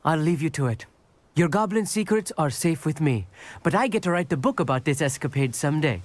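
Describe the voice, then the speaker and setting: An actor playing a nervous, bookish schoolboy wizard in a video game, hesitant, very polite and eager to please. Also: male voice, a little high, lively intonation, brisk, no echo, natural voice. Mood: shaken, good-natured. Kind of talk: video game cutscene acting.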